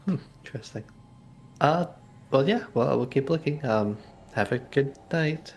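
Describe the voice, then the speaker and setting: A man's voice: mid-range voice, expressive, unhurried, gravelly, faint music behind. A man improvising as a player in a tabletop roleplaying game, casual and tentative. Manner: hesitant, tentative. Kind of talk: casual improvised in-character chat.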